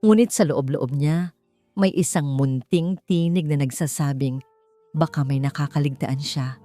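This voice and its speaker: A woman's voice: deep voice, unhurried, warm tone, no room sound. A woman with a Filipino accent reading a dramatic story as an audiobook narrator. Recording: music bed under the voice. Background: background music.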